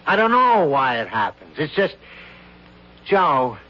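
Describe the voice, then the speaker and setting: A weary, blunt theatrical agent who talks straight and dismisses people. The voice sits fairly high for a man, with a gruff manner. It comes through a dull, lo-fi old radio broadcast. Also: sing-song, slow. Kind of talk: 1970s radio drama acting.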